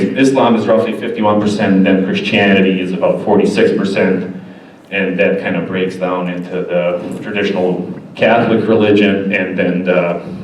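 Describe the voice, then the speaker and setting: Deep-voiced man in an echoey hall. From Bosnia. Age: 40s. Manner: casual, halting, many fillers. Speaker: church presenter. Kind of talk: informal presentation. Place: church hall. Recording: echoey hall, distant mic, faint noise.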